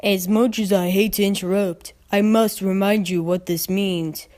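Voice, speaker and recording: A formal male pony official, acted by a woman reader. He talks in a measured, matter-of-fact manner, as a cartoon storybook character. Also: mid-range voice, fairly even intonation, a little mellow, lightly acted.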